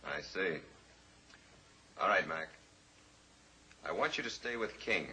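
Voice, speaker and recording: A gruff, scheming outlaw boss. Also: male voice, sits low, lively intonation, slow, sharp tone, full character voice. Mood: commanding. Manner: curt, commanding. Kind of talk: 1950s radio drama acting. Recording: old radio recording with faint noise.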